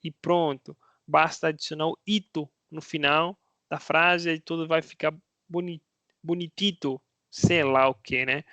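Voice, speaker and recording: A man's voice: fairly high pitch, steady tone, a little slow, fairly bright tone, no echo. A man with a Brazilian Portuguese accent, a Spanish teacher talking casually to his viewers, asking himself rhetorical questions and tagging his sentences with little checks. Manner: conversational, asks himself rhetorical questions, tags lines with "né?". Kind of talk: casual explanatory video talk to viewers.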